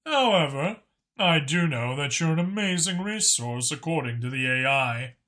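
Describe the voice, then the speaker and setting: A man voicing a dignified male dragon in an audiobook, speaking in a measured, guarded and formal way. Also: fairly high pitch, animated, sharp tone. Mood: earnest. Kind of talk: audiobook character acting.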